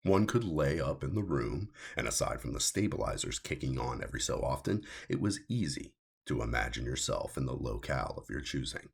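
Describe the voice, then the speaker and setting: A man reading his own science fiction story as scripted audiobook narration, in a measured, deliberate way with a gravelly, husky voice. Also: extremely low pitch, big pitch swings.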